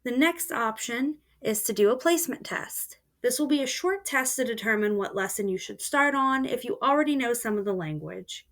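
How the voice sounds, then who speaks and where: A woman's voice: on the high side, normal speed, few pauses. A woman giving a step-by-step voice-over tutorial of a language learning website. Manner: steady explanatory voice-over. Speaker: student presenter. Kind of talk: screen-recorded tutorial walkthrough.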